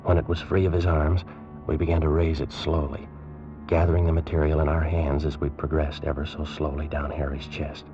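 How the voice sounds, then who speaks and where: A man narrating a tense story in the first person in 1940s radio drama style, slow and suspenseful. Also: extremely low pitch, little pitch movement. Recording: dull old radio recording with music.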